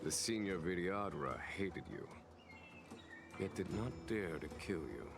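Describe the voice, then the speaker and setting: Calm fantasy game general, low voice, unhurried, formal, deliberate, burdened by duty, music behind. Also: male voice. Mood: wry, knowing. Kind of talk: video game voice acting.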